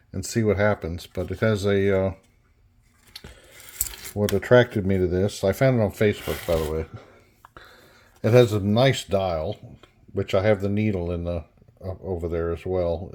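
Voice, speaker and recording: Low-voiced man thinking aloud at a workbench. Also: a little mellow, slight background noise. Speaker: hobbyist restorer presenting a repair. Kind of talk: unscripted workbench walkthrough.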